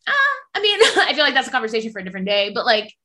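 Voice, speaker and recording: A young woman from New Jersey whose first language is Spanish, co-hosting a casual chat with animated, emphatic talk and frequent laughs. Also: fairly high pitch, rapid-fire. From born in New Jersey, US. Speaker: podcast co-host.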